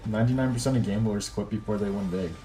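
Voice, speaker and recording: A man's voice: on the low side, fast, dark tone. A man streaming a video game, giving informal commentary and muttering asides to himself as he plays. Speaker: gaming streamer. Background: game music.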